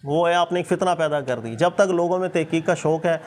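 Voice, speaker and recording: A man's voice: a little high, brisk, darkish tone. A man with a Pakistani accent, a religious teacher explaining points emphatically in informal discussion, often repeating himself for stress. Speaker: Islamic scholar and teacher.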